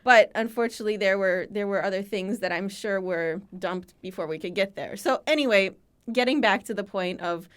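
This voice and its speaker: A woman in her forties from Chicago, telling her story as an interview guest and voicing the people she quotes. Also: mid-range voice. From Chicago, USA. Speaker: guest, archives founder.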